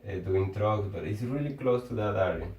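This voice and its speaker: A man with a Venezuelan accent chats casually, his voice sitting a bit low. His English is halting, and he restarts words as he hunts for the right phrase. He sounds far from the mic in a roomy, echoing space. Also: darkish tone. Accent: Venezuelan Spanish. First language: Spanish. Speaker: engineer. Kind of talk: casual conversation. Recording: echoey room, far from the mic.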